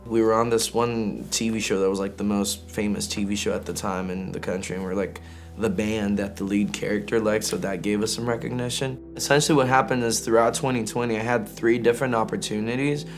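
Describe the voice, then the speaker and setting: A man from Greece, an indie singer-songwriter, talking casually and candidly in an interview in an informal, halting, slangy way. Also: lowish voice, steady tone, faint music behind.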